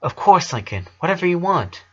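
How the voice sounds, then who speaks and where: A man voicing an earnest young man who speaks haltingly and consoles a boy in a story reading. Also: sits high, sing-song, husky, muffled recording, voice pushed up, exaggerated voice. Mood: gentle.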